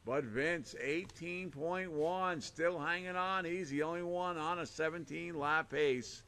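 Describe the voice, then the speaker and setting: A man calling a live RC car race with a hoarse, fading voice, rattling off names and lap times in short bursts. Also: fairly high pitch, measured pace, sharp tone. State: hoarse, losing his voice. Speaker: race announcer.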